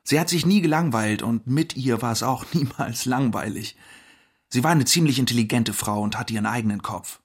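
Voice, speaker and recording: A man playing a contemporary witness giving his recollections in an audio documentary, with a husky voice. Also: mid-range voice, brisk, lightly acted. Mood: admiring.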